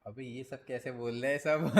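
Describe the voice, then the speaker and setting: A man livestreaming a game, chatting casually in slang, reacting excitably and muttering to himself. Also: medium pitch. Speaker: gaming streamer. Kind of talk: casual livestream chat with viewers. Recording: clear background noise and clicks.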